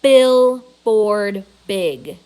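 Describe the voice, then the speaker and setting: A woman's voice: mid-range voice, lively intonation, extremely slow, darkish tone. Woman reading as an eager, insistent young girl. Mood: excited. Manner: emphatic, pleading.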